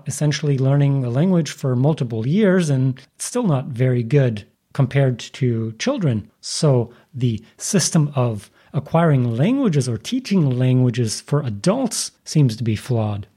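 A man's voice: medium pitch, lively intonation, a little slow, few pauses, dark tone, husky. A man hosting a podcast, making an earnest argument before asking his guest a question. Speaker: podcast host. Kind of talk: podcast interview.

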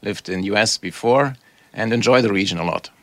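A man with a German accent, a marketing executive explaining things in a measured way as an interview guest. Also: fairly low pitch, a bit bright, no room sound. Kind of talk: casual interview chat.

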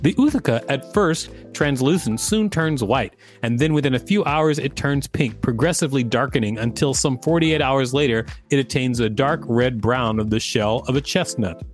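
A man's voice: medium pitch, moderate pace, hardly any pauses, faint music behind. A man co-hosting a podcast, reading articles aloud and bantering in a playful, joking way. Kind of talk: read-aloud narration with casual banter.

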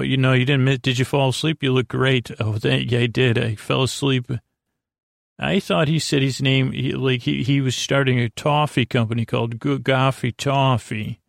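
Male sleep-podcast host, very gravelly, dry close sound; halting, self-deprecating rambler with gently goofy humor. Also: mid-range voice, no echo. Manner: meandering, halting, stuttering over words, self-deprecating; mildly silly, playful wordplay. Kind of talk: rambling bedtime monologue, loose storytelling.

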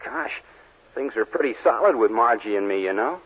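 Slangy young suitor, muffled. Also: male voice, lowish voice, animated, normal speed, fairly bright tone, breathy edge. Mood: glum, puzzled. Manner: casual, slangy. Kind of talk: 1940s radio commercial acting. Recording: muffled vintage radio recording.

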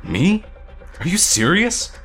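A man voicing a frustrated, hot-headed monster fighter in a fantasy story, urgent and shouting, over music. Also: sits high, very animated, slow, voice pushed up, exaggerated voice. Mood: angry, indignant.

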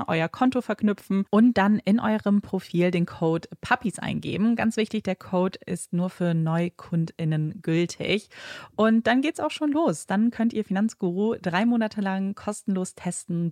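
A woman's voice: medium pitch, darkish tone, no room sound, studio quality. A young adult woman, a native Polish speaker, co-hosting a podcast and narrating a true crime case with vivid scene-setting and rhetorical questions. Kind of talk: true crime storytelling, partly scripted narration. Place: podcast studio.

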